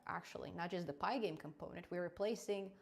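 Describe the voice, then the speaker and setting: A young adult woman hosting a live coding stream, chatty and quick to laugh, often restarting her words. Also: on the low side, gravelly. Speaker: programming channel host.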